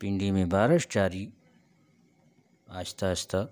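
A man's voice: low-pitched, measured pace. Middle-aged man, tour-guide commentary, phone held at arm's length, heavy outdoor noise. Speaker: vlogger. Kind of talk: travel vlog narration. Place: outdoors at railway stations in rain.

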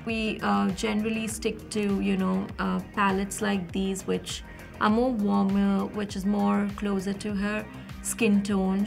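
A woman makeup artist explains her methods step by step, with a few fillers along the way. Her voice carries a noticeable fry, and soft music plays under her. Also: mid-range voice. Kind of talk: interview.

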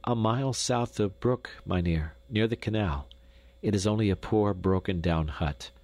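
A man's voice: on the low side, normal speed, a little mellow, no room sound. A man voicing a poor young Dutch boy who pleads earnestly with a doctor. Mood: pleading.

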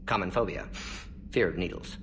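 An actor playing a brainy old alien scientist in a video game, brisk and analytical, speaking in clipped, telegraphic fragments. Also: male voice, low voice, far from the mic, slight background noise, lightly acted. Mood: absorbed, analytical.

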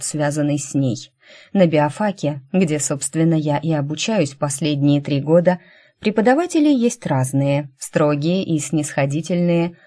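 A woman's voice: low-pitched, dark tone. A woman reading a fantasy novel as a scripted audiobook narration.

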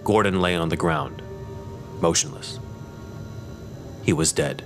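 Very deep male narrator. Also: slow pace, music in the background. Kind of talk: scripted dramatic narration.

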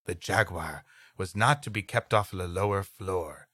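A man's voice: sits low, measured pace, sharp tone, slightly rough, dry recording, studio quality. A man co-hosting a comedy podcast, reading an old story dramatically and trading comic banter.

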